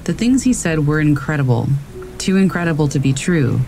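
A man calmly reading scary stories aloud as a narrator, in a steady storytelling manner. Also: on the high side, a little fast, gravelly, a little hiss or hum, rough recording. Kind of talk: scripted narration of reader submissions. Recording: faint rain in the background. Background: rain.

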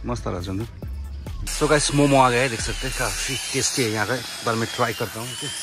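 A man's voice: medium pitch, fast talker. Chatty young man narrating a ride. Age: young adult. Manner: chatty tour-guide tone, keeps calling out to viewers. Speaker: motorcycle travel vlogger. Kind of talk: casual travel vlog narration. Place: outdoors on hill roads and at a roadside cafe. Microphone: helmet camera and handheld selfie camera. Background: background music and passing vehicles.

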